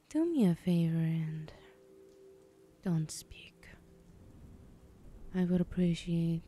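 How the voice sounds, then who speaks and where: Woman acting a slow, whispering, menacing villainess. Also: fairly low pitch, mellow. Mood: cold, threatening. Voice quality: breathy, whispered. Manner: slow, taunting, condescending. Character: menacing, teasing female villain abductor with obsessive curiosity. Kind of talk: ASMR roleplay.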